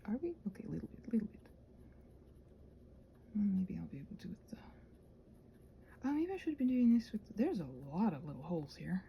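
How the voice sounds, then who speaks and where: A woman chatting casually in a rambling ASMR style, with a soft breathy voice that often drops into a whisper, questioning herself and thinking out loud. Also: medium pitch, sing-song, a little fast, long pauses, highs cut off, a little hiss or hum. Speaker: ASMR video creator and host.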